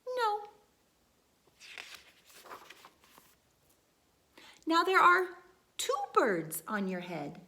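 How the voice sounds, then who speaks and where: A cheerful little pig from a picture book, played by a woman. The voice is high and lively, helpful and matter-of-fact, giving its answers at an easy, slow pace. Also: vocal fry, heavily acted. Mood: amused.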